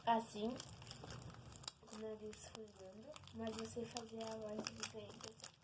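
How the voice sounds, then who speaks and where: A little Brazilian girl speaks in a high child's voice. She chimes in playfully with short, informal remarks. The home recording has clear noise, with clicking and crinkling behind her. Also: little pitch movement, a little slow. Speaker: vlogger's cousin, helper. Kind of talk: casual playful chat.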